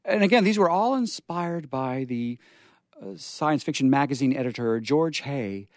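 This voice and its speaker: A man's voice: mid-range voice, darkish tone, dry recording, studio quality. A man hosting a radio show in a playful, theatrical, gushing manner. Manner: effusive, gushing. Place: radio studio.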